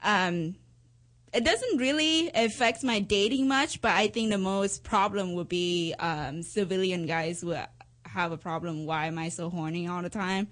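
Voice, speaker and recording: A woman's voice: on the low side, a little slow, fairly bright tone. A woman talking candidly and unabashedly as a guest in a casual talk show chat.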